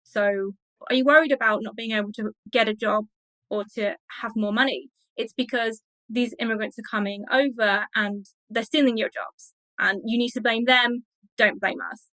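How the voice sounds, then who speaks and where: A woman giving measured, explanatory answers as an academic guest in a thoughtful interview. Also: a little high, steady tone, fairly bright tone, no room sound, low-quality recording. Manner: measured, explanatory, uses everyday examples.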